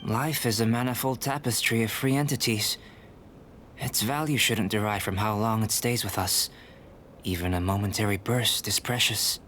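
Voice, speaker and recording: A man playing a calm young alchemist scholar in an anime-style video game, speaking in a measured, formal, reflective way. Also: lowish voice, slight background noise, close to an everyday voice. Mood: philosophical.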